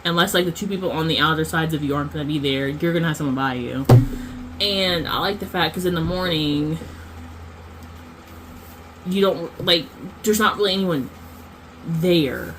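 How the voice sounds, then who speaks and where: A woman's voice: low voice, normal speed, pauses often, background noise. Rambling woman vlogger, chatty monologue. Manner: rambling, lots of fillers and self-corrections.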